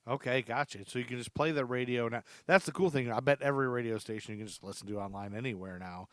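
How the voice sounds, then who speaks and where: A man's voice: mid-range voice, quick pace, dry recording. A man hosting a casual history podcast, chatty and rambling, laughing often. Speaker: podcast host. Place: lives in Charlotte.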